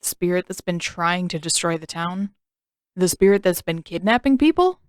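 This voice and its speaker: A woman's voice: fairly low pitch, expressive, moderate pace, clean tone, no room sound, clean studio sound, close to an everyday voice. Woman as a sarcastic, defensive teenage boy. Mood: sardonic. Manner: sardonic, indignant.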